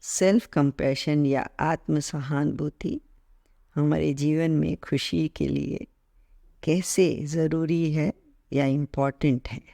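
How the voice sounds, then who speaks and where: A man leading a guided meditation as an instructor, speaking calmly in short measured phrases with gentle pauses. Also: fairly high pitch, vocal fry, no echo, clean studio sound. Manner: mixes English words into Hindi, repeating terms in both.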